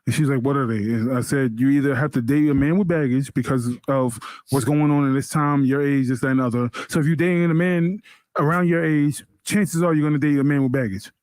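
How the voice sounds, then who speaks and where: A man's voice: mid-range voice, fairly even intonation, a little fast, darkish tone, dry recording. A man in his thirties, a podcast co-host, arguing his point in a heated casual debate and repeating himself when pressed. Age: 30s.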